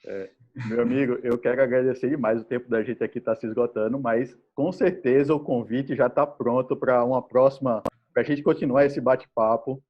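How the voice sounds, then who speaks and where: Dude hosting a live call, kinda husky, muffled lo-fi sound. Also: male voice, medium pitch, steady tone. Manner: friendly, enthusiastic, halting with fillers. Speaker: podcast host. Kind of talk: live video-call chat. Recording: dull, lo-fi call audio.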